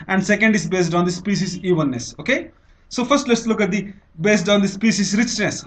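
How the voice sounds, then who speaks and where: High-pitched Indian man giving a lecture. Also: a bit bright. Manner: didactic, repeats and restates phrases, frequent "okay" checks. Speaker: teacher, lecturer. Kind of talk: explanatory exam-prep lecture.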